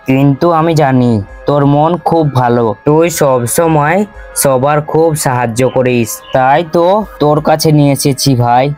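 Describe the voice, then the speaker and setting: A man voicing a scheming, falsely sweet village elder brother in an animated folk tale, talking persuasively. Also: medium pitch, lightly acted. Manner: persuasive, coaxing.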